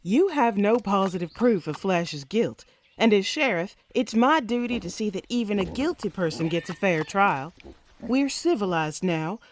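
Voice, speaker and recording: A woman's voice: medium pitch, slight background noise, lightly acted. Woman playing a resolute frontier lady sheriff. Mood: firm, commanding. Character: resolute female frontier sheriff, fair-minded and lovestruck. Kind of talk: comic-book Western radio drama.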